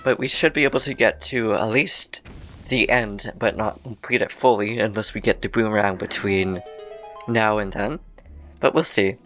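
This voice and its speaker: A man's voice: fairly low pitch, normal speed, a bit bright. Rambling young man narrating his gameplay. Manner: rambling, self-correcting, laughs often. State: slightly phlegmy voice. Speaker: let's play gamer. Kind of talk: casual gameplay commentary. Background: faint game music.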